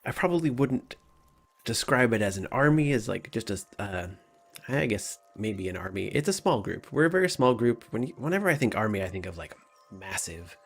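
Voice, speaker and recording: A man's voice: medium pitch, sing-song, fairly quick, creaky, breathy edge, faint music behind, full character voice. A man voicing a tall, apologetic woman who leads a band of scouts, hesitant and rambling, in a tabletop game. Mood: earnest, explaining.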